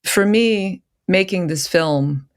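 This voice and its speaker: A middle-aged woman from Bulgaria, a filmmaker answering interview questions thoughtfully, often pausing to search for words. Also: lowish voice. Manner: reflective, searching for words with restarts. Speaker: filmmaker guest.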